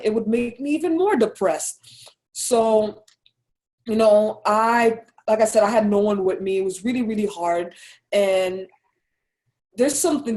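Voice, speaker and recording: A young woman from Haiti with a Haitian accent, telling her own story as an interview guest in an earnest, soft-spoken way. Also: mid-range voice. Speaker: interview guest, Army captain. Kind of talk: casual personal story.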